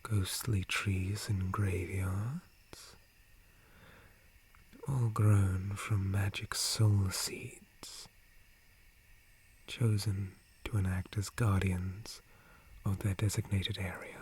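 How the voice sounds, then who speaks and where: A man voicing a gentle, polite dryad guardian in a breathy, formal whisper for an ASMR roleplay. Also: low voice, little pitch movement, extremely slow, pauses often, exaggerated voice. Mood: calm, explaining. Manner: formal, courteous, hesitant, softly hushed. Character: gentle, polite male dryad, forest guardian tree spirit.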